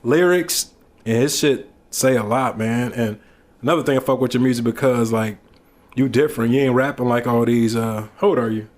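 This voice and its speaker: A man's voice: mid-range voice, normal speed, a little mellow. 30yo ohio guy hosting a podcast, chill slangy talk, desk mic in a small studio. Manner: laid-back, slangy, hypes up his guest. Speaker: podcast host. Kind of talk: casual interview chat. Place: small studio with backdrop, indoors. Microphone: desk mic on boom arm.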